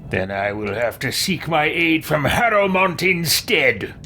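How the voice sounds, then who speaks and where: A man's voice: a little high, big pitch swings, measured pace, bright, forceful tone, slight background noise, full character voice. A man roleplaying a bold, blunt warrior hero from a fantasy video game in a declamatory way. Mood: firm, defiant.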